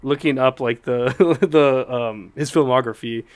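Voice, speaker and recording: A man's voice: mid-range voice, measured pace. A man co-hosting a podcast with casual, jokey banter about movies, laughing often as he talks. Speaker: podcast host.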